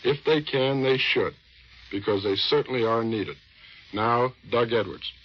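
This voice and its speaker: A man's voice: mid-range voice, unhurried, breathy edge. Old 40s radio guy doing interviews, american, wry and folksy, muffled lo-fi sound. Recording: dull vintage 1940s radio recording.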